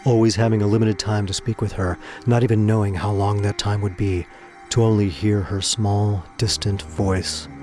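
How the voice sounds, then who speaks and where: A man with a fairly low voice narrates a scripted audio drama. His delivery is dramatic storytelling, with music playing underneath. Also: little pitch movement, moderate pace, warm tone.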